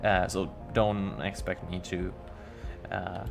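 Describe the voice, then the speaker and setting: Slow, halting Finnish-accented male streamer. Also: deep voice, steady tone, vocal fry, over music. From Finland. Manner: halting, stumbles over words. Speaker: game streamer. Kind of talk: casual live-stream chat while building.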